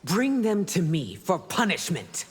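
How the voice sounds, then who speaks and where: An actress playing a regal, commanding empress in a video game, with an imperious, dignified manner. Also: female voice, fairly low pitch, big pitch swings, measured pace, full character voice. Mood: commanding, solemn. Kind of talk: video game character acting. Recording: reverberant hall with clear noise.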